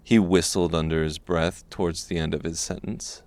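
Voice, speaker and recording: A man narrating a scripted dark fantasy audiobook. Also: deep voice, fairly even intonation, normal speed, dry recording.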